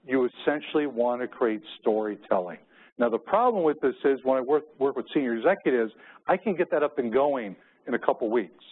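A middle-aged man with an American accent speaks as a keynote presenter, moving between business points and personal stories. His pitch sits in an average range. The recording is narrow and phone-like, like audio from a conference room feed. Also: sing-song, a little fast, husky.

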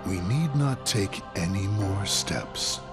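An actor playing a grave, imperious leader of a dark order in a video game, solemn and portentous. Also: male voice, deep voice, expressive, slow pace, loud music behind the voice, exaggerated voice. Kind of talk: video game cutscene acting.

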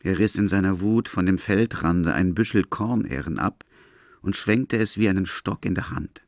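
A man's voice: sits low, normal speed, vocal fry, dry recording, clean studio sound. A man narrating a literary radio drama as its storyteller.

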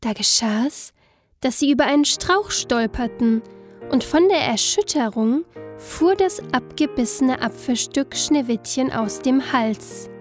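A woman's voice: high voice, expressive, a little slow. A woman narrating a scripted fairy tale as a storyteller. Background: soft instrumental music.

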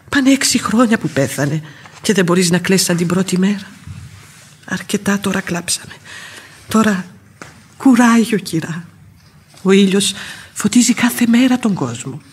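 An actress playing a tough, fiercely loyal old nursemaid in a radio play, earthy, outspoken and emphatic, with a husky voice. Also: female voice, medium pitch, long pauses. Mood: firm, consoling. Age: older.

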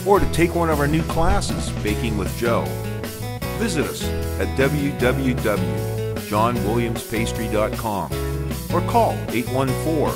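American male narrator over loud guitar music. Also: medium pitch, animated, measured pace. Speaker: narrator and host. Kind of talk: scripted promotional narration. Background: loud plucked guitar music.

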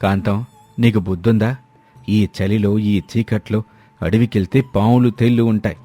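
A man's voice: fairly low pitch, steady tone, moderate pace, mellow, soft background music, close to an everyday voice. A man playing a hardworking, grumbling village husband in a moral story cartoon. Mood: exasperated. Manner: grumbling, complaining.

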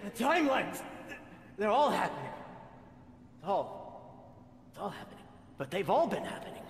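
A man's voice: sits high, sing-song, moderate pace, in a large hall. A man voicing a brooding, sarcastic antihero hedgehog in an improvised comedy dub of a video game, melodramatic and dryly mocking. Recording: game music underneath.

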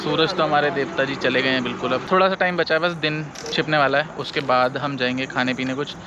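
A man's voice: mid-range voice, fairly even intonation, fast talker, muffled recording. A young man chatting cheerfully to viewers as he vlogs a motorbike ride outdoors, speaking into an action camera. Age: young adult. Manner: chatty and upbeat, addresses viewers as brothers. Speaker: motovlogger. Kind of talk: casual vlog narration. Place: outdoors on a highway and roadside, partly riding a motorbike. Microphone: chest-mounted action camera, also selfie at arm's length. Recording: noisy outdoor action-cam audio. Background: wind, traffic and engine noise.

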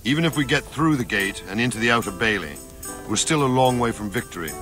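An actor playing a grizzled older soldier in a video game, blunt, fatherly and resolute, with a measured, commanding delivery. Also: medium pitch, lively intonation, a bit bright, natural voice. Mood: resolute, instructing. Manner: measured, plain-spoken, commanding. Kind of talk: dramatic video game cutscene acting. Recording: music behind the voice.

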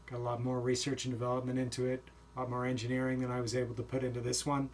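A man in his forties explaining a homemade project in a small basement utility room, in a relaxed, plain way with occasional fillers. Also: mid-range voice, very fast, a little hiss or hum. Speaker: vlogger. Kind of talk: casual show-and-tell walkthrough.

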